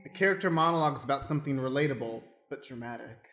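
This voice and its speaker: A man's voice: mid-range voice, racing, a bit bright. Echoey male radio-play narrator over music. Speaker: stage manager and narrator. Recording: roomy echo with music behind.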